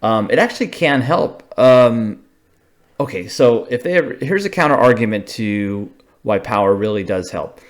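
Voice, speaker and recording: An American man giving an informal, unscripted technical explanation, thinking aloud haltingly and checking in with short tags. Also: mid-range voice, expressive. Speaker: radio hobbyist explainer.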